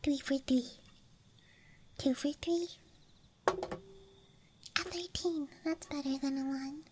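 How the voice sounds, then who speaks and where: Young female ASMR streamer, high soft voice, unhurried whispery rambling, playful, close on the mic. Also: fairly even intonation. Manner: soft, playful, musing aloud. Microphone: close ASMR microphone. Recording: background noise, ambience with clicks. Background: night campground ambience, clicking of small objects.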